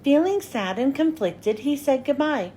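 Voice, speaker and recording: A woman narrating a children's storybook as a read-aloud host, with expressive, clearly phrased delivery. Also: high-pitched, measured pace, a little hiss or hum.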